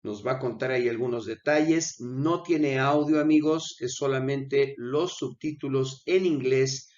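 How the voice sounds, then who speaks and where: A man's voice: medium pitch, measured pace, a little mellow, highs cut off. A man, feeling unwell with coughing fits, hosting a channel and talking viewers through a video in an earnest, pleading way. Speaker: channel host. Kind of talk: conversational commentary over a video.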